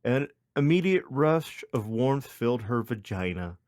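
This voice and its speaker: A man's voice: medium pitch, lively intonation, measured pace, darkish tone, no room sound, studio quality. A man hosting a podcast, reading a comic story in a mock-dramatic narrating style. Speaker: podcast host.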